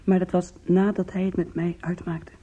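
An actress in a Dutch radio play playing a weary, anguished young woman with a shamed past, confessional, halting and pleading. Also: on the low side, level tone, dark tone, slightly rough. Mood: wistful confessing. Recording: muffled, lo-fi old radio recording.

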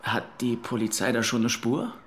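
A man's voice: mid-range voice, moderate pace, fairly bright tone, slight background noise, natural voice. An actor playing a guarded, somewhat curt young man in a naturalistic radio drama. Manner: curt, defensive.

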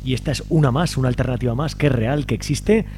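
A man's voice: mid-range voice, fast, mellow, a little hiss or hum. A man with an accent from Spain, hosting a radio show in an informal, colloquial way. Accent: Spanish from Spain. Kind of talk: casual radio interview and presenting.

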